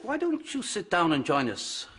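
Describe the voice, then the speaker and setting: A man playing a patient, polite health assessor in a TV sitcom, asking questions in a measured, formal way. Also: mid-range voice, huge pitch swings. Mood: patient, official. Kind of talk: TV sitcom acting. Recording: loud studio audience noise.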